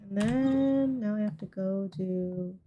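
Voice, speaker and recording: A woman's voice: medium pitch, slow pace, dull audio. A woman chatting casually and ramblingly to viewers while livestreaming a game. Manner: rambling, conversational. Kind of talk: casual gameplay chat with stream viewers. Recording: game music playing behind voice. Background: video game music.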